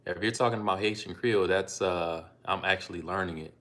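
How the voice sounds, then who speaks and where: A man with a very low voice hosts a casual live stream, speaking through a phone. He comes from Ohio and talks in a relaxed, rambling way, pausing on ums as he reads out donor names and answers questions about learning languages. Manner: laid-back, unhurried, with frequent ums and asides. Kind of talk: casual live-stream chat reading donations.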